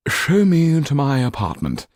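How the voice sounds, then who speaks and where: A man's voice: fairly high pitch, huge pitch swings, moderate pace, darkish tone, no echo, studio quality, pitched above the performer's own voice. A man voicing an imperious, deluded French marquis in an audiobook, haughty in manner. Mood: haughty, demanding.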